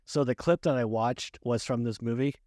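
A man co-hosting a casual movie chat, speaking haltingly, repeating words and often chuckling. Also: medium pitch, a little mellow, dry recording, clean studio sound. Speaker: podcast co-host.

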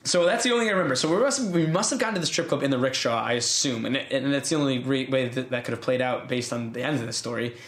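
A man's voice: medium pitch, lively intonation, quick pace, hardly any pauses, a bit bright, echoey room. An American man telling a long story in casual chat, laughing often and restarting his sentences. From United States. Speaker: podcast co-host telling a story.

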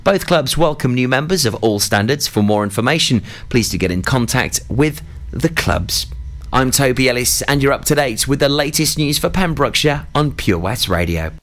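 A man presents a scripted local radio news bulletin. His voice sits in a middle pitch range, and he delivers short regional items in a news reader's style. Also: animated, moderate pace, a bit bright.

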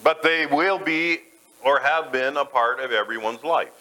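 A man's voice: mid-range voice, a little slow, bright, forceful tone, low-quality recording. A man preaching a sermon in a church, folksy and self-deprecating as he mixes jokes with scripture. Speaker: pastor.

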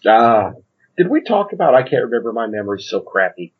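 A man's voice: mid-range voice, big pitch swings, a little fast, slightly rough, narrow, tinny audio. A man co-hosting a podcast, chatting casually about films in an easygoing, agreeable way. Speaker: podcast co-host. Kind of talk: casual film chat.

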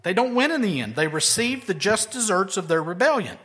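A man preaching an expository sermon, moving between loud, emphatic lines and quiet, low asides. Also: sing-song, fairly quick. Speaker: pastor.

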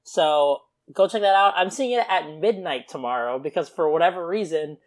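A man's voice: fairly high pitch, moderate pace. A young man co-hosting a pop culture podcast, chatting casually and filling gaps with uh and um. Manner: relaxed, lots of uh and um fillers. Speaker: podcast co-host. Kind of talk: casual chat.

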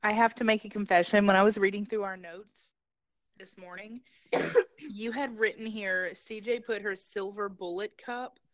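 A woman's voice: medium pitch, steady tone, normal speed, no room sound. A woman co-hosting a podcast, chatting casually with dry, teasing remarks. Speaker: podcast co-host. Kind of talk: casual chat.

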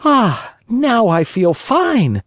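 A man's voice: very high voice, huge pitch swings, extremely slow, fairly bright tone, no echo, studio quality, voice pushed up, heavily acted. A man voicing a hungry, stubborn wooden puppet boy from a storybook, with a childish, complaining manner. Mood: contented.

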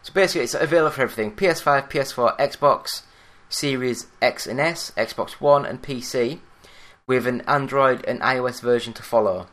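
Man, Yorkshire accent, monotone delivery, mid-pitched voice, solo podcast host. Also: brisk. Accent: Yorkshire English. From Yorkshire, England. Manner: monotone, plain-spoken. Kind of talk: solo gaming news podcast.

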